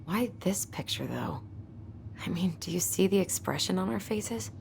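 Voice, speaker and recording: A woman's voice: on the low side, big pitch swings, moderate pace, gravelly, darkish tone, a little hiss or hum, heavily acted. An actress playing a protective, pragmatic young American woman in a dramatic video game, wry and earnest in manner. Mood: quiet, sad. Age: young adult. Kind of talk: naturalistic dramatic game acting.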